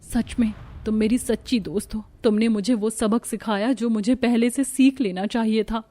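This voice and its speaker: A woman's voice: fairly high pitch, fairly even intonation, normal speed, warm tone, close to an everyday voice. A woman voicing a haughty, boastful rich young wife in a moral cartoon story. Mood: remorseful. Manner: scornful, boastful. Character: haughty rich young wife who taunts her poor friend.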